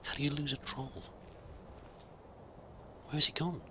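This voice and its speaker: A man's voice: fairly high pitch, level tone, a little slow, pushed and bright, slight background noise. A man giving in-character let's play commentary, musing aloud and muttering to himself as he goes. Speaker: host of a roleplay let's play.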